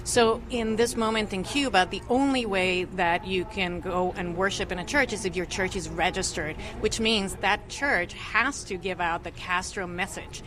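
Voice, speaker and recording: A woman speaks as an interview guest, earnest and fluent as she argues for religious freedom. Her voice sits at an ordinary pitch for a woman, and clear background noise runs under it. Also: steady tone, moderate pace, hardly any pauses.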